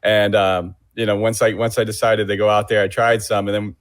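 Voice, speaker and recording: A man with a very low voice chatting on a sports podcast. He is laid-back and joking, with lots of fillers. The sound is dry and close. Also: little pitch movement, brisk, dry recording. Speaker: podcast co-host. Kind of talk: casual podcast chat.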